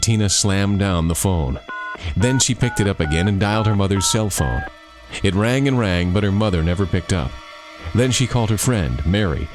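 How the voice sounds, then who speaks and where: A man narrating a scripted scary campfire story, dramatic, with deliberate pauses. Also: low voice, darkish tone. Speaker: author and narrator.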